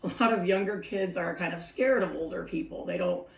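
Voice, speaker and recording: A woman's voice: fairly low pitch, a little fast, gravelly. A middle-aged woman with a scratchy voice chatting reflectively in a vlog, laughing often as she talks. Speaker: senior care worker sharing advice. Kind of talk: casual talking-to-camera vlog. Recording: roomy echo, mic a bit distant.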